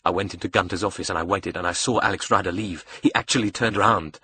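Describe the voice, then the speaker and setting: Male narrator as young villain, South African accent, sadistic, sneering, sarcastic, sharp husky tone, audiobook dialogue. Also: low-pitched, steady tone, quick pace, full character voice. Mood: gloating. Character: young man, bitter, cocky, sadistic, angry villain.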